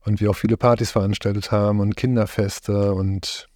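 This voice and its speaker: A middle-aged man from Germany with a low, flat, mellow voice. He talks in relaxed conversation, hesitates often and searches for his words. The recording is close and dry. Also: moderate pace, dry recording. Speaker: interviewee, farm host.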